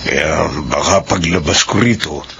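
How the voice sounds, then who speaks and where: An actor playing a weary, hesitant Filipino convict afraid of freedom, in a radio drama. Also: male voice, extremely low pitch, measured pace, creaky, fairly bright tone, full character voice. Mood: resigned, downcast. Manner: hesitant, subdued. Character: weary, fearful male convict about to be freed. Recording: dull lo-fi radio drama, faint noise.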